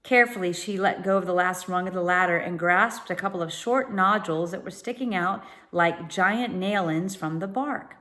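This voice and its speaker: A woman narrating a children's storybook as a teacher would, pausing to explain words to listeners. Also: on the low side, sharp tone. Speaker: teacher reading aloud.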